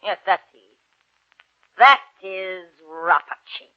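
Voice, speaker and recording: A woman's voice: mid-range voice, slow pace, close to an everyday voice. An actress in a 1940s radio drama playing a wary, scornful Italian landlady, speaking with dramatic emphasis. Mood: sly, knowing. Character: wary, brusque Italian landlady in old Padua. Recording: dull, lo-fi 1940s radio recording.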